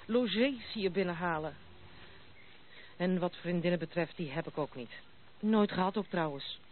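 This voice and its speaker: A woman's voice: on the low side, close to an everyday voice. An actress playing a blunt, proud, self-assured young noblewoman in a radio play. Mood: blunt, dismissive. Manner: brisk, self-assured, outspoken. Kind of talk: Dutch radio play acting. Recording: muffled narrow-band old radio recording, noisy.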